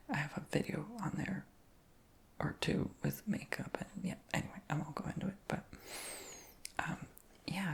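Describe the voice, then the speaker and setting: A man whispering through a rambling ASMR storytime chat, his voice high-pitched for a man, with frequent ums and likes. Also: vocal fry, slightly rough. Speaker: ASMR creator.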